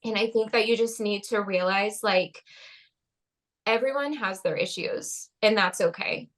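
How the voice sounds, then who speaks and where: A woman's voice: medium pitch, a little slow. A young adult woman speaking as a podcast guest in a casual interview, earnest and heartfelt, with frequent "um" and "like".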